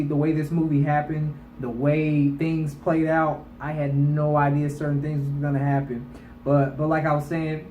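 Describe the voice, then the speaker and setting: A man with a slightly high voice talks in a very echoey room with a faint layer of noise. He reviews movies for online viewers in a casual, colloquial way. His delivery is emphatic, often repeating phrases to stress them. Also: a little mellow.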